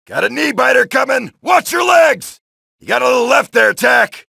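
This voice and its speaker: An actor playing a brash, wisecracking male soldier who shouts taunts and one-liners while killing zombies in a video game, with a gravelly voice. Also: extremely high pitch, expressive, normal speed, dry recording, exaggerated voice. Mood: taunting. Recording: dry studio voice lines.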